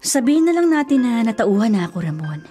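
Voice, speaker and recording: Agreeable Filipina fiancée in radio drama. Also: female voice, fairly high pitch, sing-song, quick pace, warm tone, faint music behind. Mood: conciliatory. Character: agreeable, conciliatory fiancée, newly sensible.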